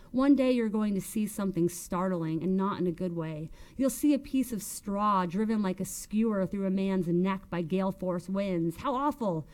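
A woman's voice: mid-range voice, a little mellow, close to an everyday voice. A woman narrator plays a domineering, bitter woman in an audiobook. She scolds and harangues with emphatic force. Her questions come as accusations, leaving little room for reply. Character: bitter, hectoring, domineering older woman berating Melba by phone.

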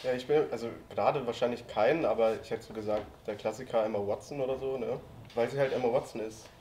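A man's voice: medium pitch, fairly even intonation, quick pace, a little mellow, a little hiss or hum. A man in his twenties, a dating show contestant, joking and cheeky in casual chat. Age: 20s.

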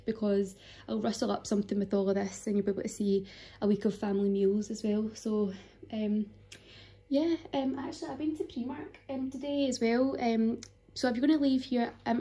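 Mellow, chatty young woman. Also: fairly high pitch, husky. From United Kingdom. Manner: informal, unscripted, chatty. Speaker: vlogger showing a shopping haul. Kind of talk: casual chatty voice-over to camera. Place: home kitchen, indoors.

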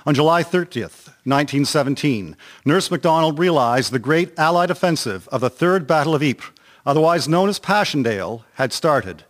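A man narrating a historical radio drama from a script in a measured, formal reading. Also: on the high side, animated.

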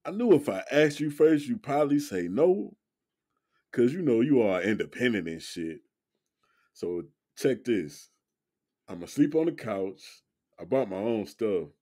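A man's voice: medium pitch, lively intonation, unhurried, warm tone. An American actor playing a protective young man on a date, speaking earnest, slangy dialogue in an audio drama. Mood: apologetic, earnest.

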